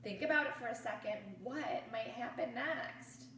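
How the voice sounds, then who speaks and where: A woman reading a picture book aloud to children like a teacher, expressively, pausing to pose questions to her young listeners. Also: on the high side. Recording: roomy, distant mic with faint noise.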